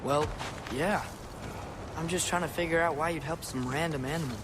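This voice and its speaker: Game role: male actor as earnest American teen son, slightly high voice, casual and searching, sympathetic. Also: steady tone, moderate pace, noisy background, close to an everyday voice. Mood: doubtful, questioning. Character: earnest, compassionate, questioning teenage boy. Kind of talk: video game dramatic dialogue.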